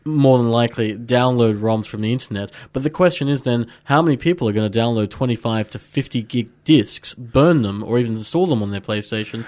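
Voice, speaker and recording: A man's voice: medium pitch, a little fast, hardly any pauses, a little mellow, no echo. Man, radio co-host, casual tech chat, jokey, self-correcting, dry studio mic.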